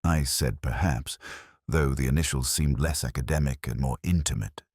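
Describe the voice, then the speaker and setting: A man reading a scripted first-person detective story in a measured, reflective, literary manner. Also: extremely low pitch, darkish tone, slightly rough, no echo, clean studio sound. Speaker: narrator.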